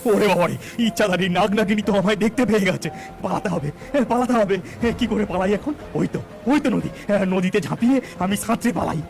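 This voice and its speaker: A man's voice: high voice, fairly quick, creaky, faint music behind. A man playing a sneaky, jumpy snake charmer thief who exclaims and repeats himself in a cartoon. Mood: panicky.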